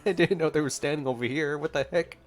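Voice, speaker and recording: A man hosting a gaming playthrough, reacting in casual, emotive commentary with gushing exclamations and mellow asides. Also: fairly high pitch, quick pace. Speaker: gaming video host.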